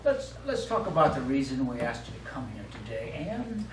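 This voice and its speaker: An actor playing a cautious, polite, wealthy gentleman in a stage play, halting and reserved as he speaks. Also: medium pitch, sing-song, close to an everyday voice. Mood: politely dismissive. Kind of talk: live stage play acting. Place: small indoor theater studio. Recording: echoey stage recording from far off, faint noise.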